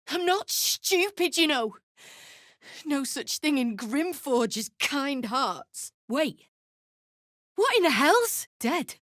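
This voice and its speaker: High-pitched, defiant gnome woman rebel. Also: a bit bright, dry recording, clean studio sound, heavily acted. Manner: blunt, snarling, sweary. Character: embittered, defiant deep gnome woman rebel, foul-mouthed. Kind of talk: video game character acting.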